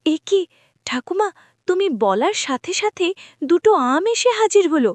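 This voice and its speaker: A woman's voice: very high voice, sing-song, measured pace, darkish tone, dry recording, heavily acted. A woman voicing a sweet, put-upon and dutiful young village girl in a cartoon, earnest and polite. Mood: amazed. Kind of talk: cartoon voice acting.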